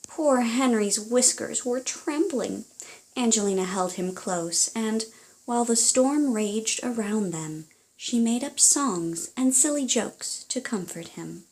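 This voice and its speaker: Slow-paced woman reading a children's story. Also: on the high side, lively intonation, a little mellow, low-quality recording. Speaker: storybook reader. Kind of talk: expressive children's story reading, with advice to parents.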